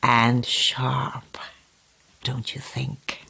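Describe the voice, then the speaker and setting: An actress playing a sly, sweetly menacing ancient old woman in a horror audio drama, husky and gravelly, with slow, relishing delivery. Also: extremely low pitch, level tone, bright, forceful tone, heavily acted. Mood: sly menace. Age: older. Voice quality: husky, gravelly, sometimes hissing whisper. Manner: slow, relishing, theatrical, condescending endearments.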